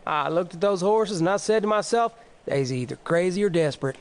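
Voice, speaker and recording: A folksy, down-on-his-luck frontier husband in a western audio drama. His voice is strikingly high for a man, with a rural Western drawl and a wry way of putting things. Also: expressive, brisk. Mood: folksy, explaining. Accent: rural American West.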